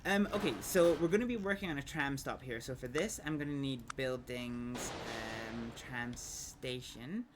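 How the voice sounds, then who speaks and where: A Scottish man streaming a city-building game, thinking aloud in a rambling way with many pauses and ums while he chats with viewers. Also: mid-range voice, background noise. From Scotland. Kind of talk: casual gameplay livestream commentary.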